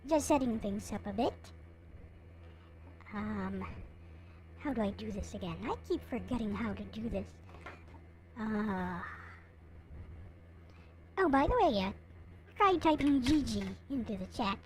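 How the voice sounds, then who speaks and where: A woman livestreamer with a high, very lively voice talks casually to her chat. She takes her time, hesitating and murmuring to herself as she goes. There is light but noticeable noise in the recording. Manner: halting, muttering to self while setting up. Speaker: livestream host.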